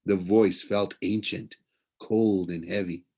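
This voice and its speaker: Expressive man reading a novel aloud. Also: sits low, slow, breathy edge. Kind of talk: audiobook-style narration of a children's novel.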